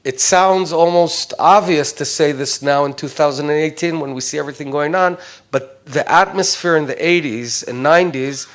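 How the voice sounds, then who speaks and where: A man's voice: on the high side, moderate pace, fairly bright tone. A man in his forties from Israel, a Hebrew speaker talking in English, telling his personal story to a visiting group in a hesitant way with many fillers. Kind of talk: informal personal talk.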